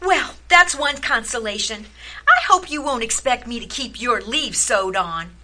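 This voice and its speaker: Radio drama wife female actor: anxious and long-suffering, dramatic, with a bright, swooping voice. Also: on the high side, expressive, measured pace, full character voice. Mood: resigned. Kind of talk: community radio theater acting.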